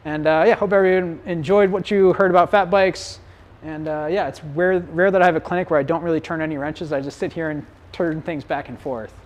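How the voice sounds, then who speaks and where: A man teaching a community bike clinic in a loose, conversational way. His voice is getting hoarse. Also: a little high, fairly even intonation, brisk. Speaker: bike shop clinic instructor. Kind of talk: live-streamed community clinic talk.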